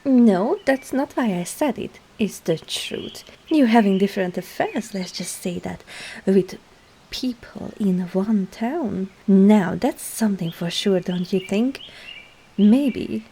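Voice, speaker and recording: A woman playing a sly, coaxing witch herbalist in an ASMR roleplay, speaking softly and often slipping into a whisper. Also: mid-range voice, expressive, takes it slowly, breathy edge, full character voice, low-quality recording. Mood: teasing.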